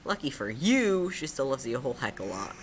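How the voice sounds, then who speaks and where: Low-voiced female game host, lively range, casual and teasing story narration over music. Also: fast. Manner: playful, sardonic. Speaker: host reading a choice-based story game aloud. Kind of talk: casual playthrough narration with banter. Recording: music playing behind the voice.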